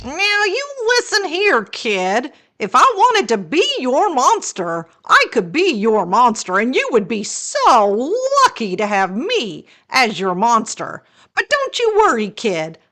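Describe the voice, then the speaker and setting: A woman's voice: fairly high pitch, huge pitch swings, slow pace, bright, forceful tone, full character voice. A woman voicing a proud, indignant storybook monster in a boastful, scolding manner. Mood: huffy, boastful.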